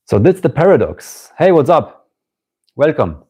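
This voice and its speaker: A man with a German accent giving a live-streamed spiritual talk, musing slowly, repeating phrases and greeting viewers as they join. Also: fairly high pitch, very animated, warm tone, breathy edge. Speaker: spiritual teacher.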